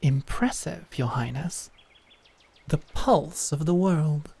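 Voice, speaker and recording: A woman acting in an audio drama as a calm, commanding knight who mentors with measured, deliberate instruction and encouragement. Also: low voice, creaky, slightly rough, exaggerated voice. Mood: calm, guiding. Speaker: voice actor.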